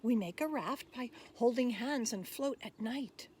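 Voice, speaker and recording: Woman voicing a high singsong sea otter. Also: measured pace, dark tone, a little hiss or hum, close to an everyday voice. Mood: gentle, worried. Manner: singsong rhyming delivery. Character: cute swift sea otter, gentle, worried for its future. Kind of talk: rhyming picture-book read-aloud.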